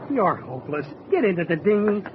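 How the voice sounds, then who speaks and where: A muffled, noisy old radio recording carries a sharp-tongued castaway. His high, swooping voice is scolding, sarcastic and excitable, in old radio drama style. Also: brisk. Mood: exasperated. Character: exasperated, sharp-tongued male castaway sailor.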